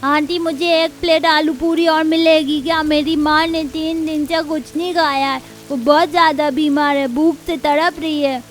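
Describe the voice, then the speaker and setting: A woman's voice: high voice, fairly even intonation, moderate pace, few pauses, natural voice. A woman voicing a small, poor child in a cartoon story, with a plaintive, childlike way of talking. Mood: pleading. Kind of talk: Hindi cartoon story acting.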